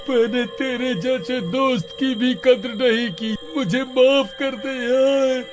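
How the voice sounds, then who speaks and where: Guy doing a greedy possessed young man, super high voice, slow n theatrical, cartoon horror story, bit of music. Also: fairly even intonation. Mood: whiny pleading. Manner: theatrical, whiny pleading turning to taunting. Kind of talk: animated horror storybook acting.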